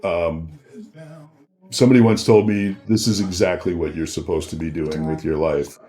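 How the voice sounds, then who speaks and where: A man's voice: sits low, fairly even intonation, moderate pace, mellow. A man chatting casually as a podcast guest, often breaking off and restarting his thoughts. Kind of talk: casual chat.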